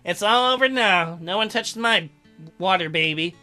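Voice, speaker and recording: Man reading a smitten merman from a romance game, high, mock-tender. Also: normal speed, sharp tone, faint music behind. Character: smitten merman lover, gentle and possessive.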